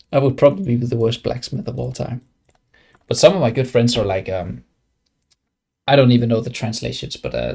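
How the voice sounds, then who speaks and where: Young Danish-accented streamer, gravelly voice, loose rambling chat with lots of restarts. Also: male voice, mid-range voice, darkish tone. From Denmark. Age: young adult. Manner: rambling, many fillers and restarts. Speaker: game streamer. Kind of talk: casual live stream chat.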